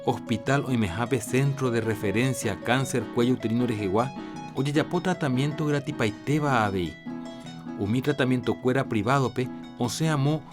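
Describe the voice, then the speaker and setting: A man's voice: medium pitch, normal speed. A man with a Paraguayan accent reading a scripted health announcement as a radio presenter. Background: guitar music.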